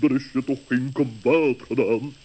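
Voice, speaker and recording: A man's voice: medium pitch, fairly even intonation, unhurried, warm tone, husky. A man voicing a pompous, wordy owl in an old children's radio play. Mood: astonished, huffy. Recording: dull muffled old radio recording.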